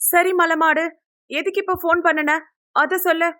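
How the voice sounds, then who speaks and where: A woman's voice: fairly even intonation, unhurried, pushed and bright, heavily acted. A woman voicing an emotional, feisty college girl in a dramatic, pouting manner for an audio novel. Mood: irritated. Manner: dramatic, pouting, high-strung.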